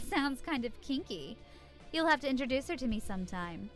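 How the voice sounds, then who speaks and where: Sultry, playful party-loving undead seductress, animated. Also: female voice, high voice, normal speed. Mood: flirty teasing. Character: flirty, hedonistic female vampire-like creature who loves partying. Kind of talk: video game voice acting. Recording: music behind the voice.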